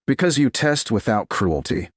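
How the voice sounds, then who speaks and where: A man voicing a humble, practical woman in a romance story, quiet, even-tempered and plain-spoken. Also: medium pitch, moderate pace, no room sound, studio quality. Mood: steady.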